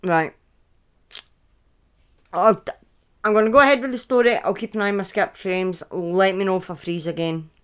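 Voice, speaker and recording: A woman's voice: mid-range voice, moderate pace, pauses often, clean tone. A woman in her thirties with a Scottish accent, telling true crime stories casually on a live stream, often breaking off into chatty asides and correcting herself. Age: 30s.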